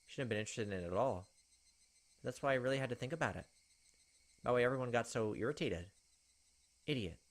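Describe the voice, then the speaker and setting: A man's voice: mid-range voice, animated, fast talker, long pauses. A young man hosting a gaming video, reading a visual novel aloud with casual asides.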